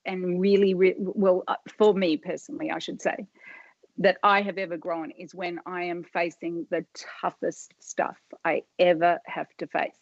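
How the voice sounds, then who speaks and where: A woman's voice: lowish voice, level tone, normal speed, creaky, no echo, dull audio. A middle-aged woman telling life stories as a podcast guest, rambling and often cutting herself off with fillers. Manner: rambling, self-interrupting, many fillers. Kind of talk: casual interview storytelling.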